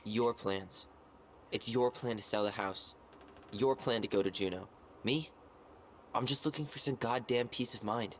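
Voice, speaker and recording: A man's voice: mid-range voice, measured pace, pauses often, close to an everyday voice. Actor as wounded stubborn young guy in a story game, blunt but earnest, natural talk, noise behind. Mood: defensive. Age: young adult. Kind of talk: naturalistic video game dialogue acting.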